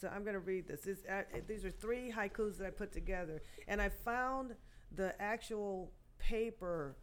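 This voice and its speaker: Woman in her sixties, guest poet, storytelling, halting, lots of fillers. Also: medium pitch, slight background noise. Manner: halting, many fillers and restarts. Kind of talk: storytelling in a podcast interview.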